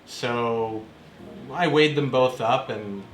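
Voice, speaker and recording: A man in his forties from Canada, telling stories in a relaxed conversational way with plenty of fillers, as an interview guest in an apartment. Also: mid-range voice, slow pace, roomy sound, a little hiss or hum, poor audio. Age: 40s. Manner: relaxed storytelling with frequent fillers. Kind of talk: casual conversation. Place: apartment room.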